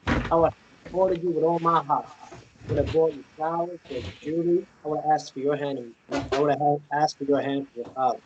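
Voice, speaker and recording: A man playing a gentle, devoted romantic in a naturalistic table read, earnest and halting. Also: fairly high pitch, fairly even intonation, background noise, natural voice. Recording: slightly dull lo-fi recording.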